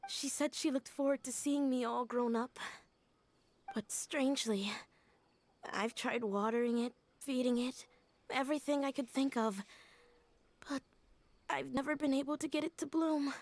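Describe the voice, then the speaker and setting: A woman's voice: high-pitched, a little slow, long pauses, darkish tone, no echo. An actress voicing a young woman in a video game who is earnest and hurt. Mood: wistful. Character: young woman grieving a lost teacher.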